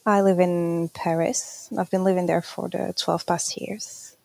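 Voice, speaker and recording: Woman, 30s, from Morocco, gravelly, hesitant interview answers. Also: on the low side, little pitch movement, measured pace, dark tone. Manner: hesitant, with many fillers. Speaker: guest, scrum master.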